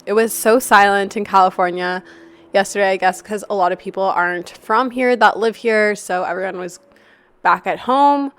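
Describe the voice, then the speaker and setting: Young woman, from Canada, hoarse from a cough, chatty rambling podcast host, dry close sound. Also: medium pitch, moderate pace, fairly bright tone, no room sound. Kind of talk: casual solo podcast chat. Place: apartment, not her usual studio.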